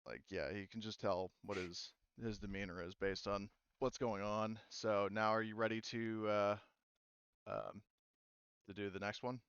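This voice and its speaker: A man's voice: fairly low pitch, flat delivery, unhurried, fairly bright tone, clean tone, dry recording, muffled recording. A man running a tabletop game as game master, rambling with many fillers and restarts.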